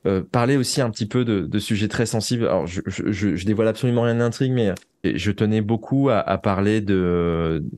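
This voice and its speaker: A man's voice: on the low side, a little fast, few pauses, darkish tone, no echo. A man speaking as an interview guest, a writer reflecting earnestly and often searching for his words.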